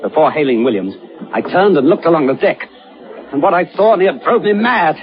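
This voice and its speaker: An actor playing a haunted sailor, telling of horror at sea in a grave, theatrical, suspenseful way. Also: male voice, a little high, lively intonation, brisk, noisy background, natural voice. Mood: rising horror. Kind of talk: dramatic radio horror narration. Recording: muffled old radio broadcast with music.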